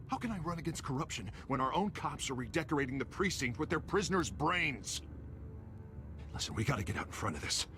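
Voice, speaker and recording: An actor playing an earnest, frustrated district attorney in a game, speaking in an emphatic, exasperated way. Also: male voice, mid-range voice, a bit bright.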